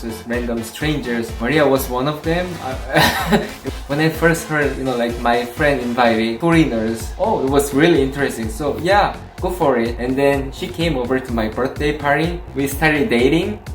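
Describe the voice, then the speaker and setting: South Korean man, 30s, Korean first language, friendly, halting, echoey room. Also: mid-range voice, faint music behind. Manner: friendly, halting, repeats words. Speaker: vlog co-host. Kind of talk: casual chat to camera. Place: living room at home, indoors.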